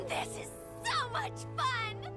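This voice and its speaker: A gleeful, murderous young woman from a video game, speaks extremely high and theatrically. She draws her words out slowly in playful menace, over loud music. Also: exaggerated voice. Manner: theatrical, giggling, sing-song menace.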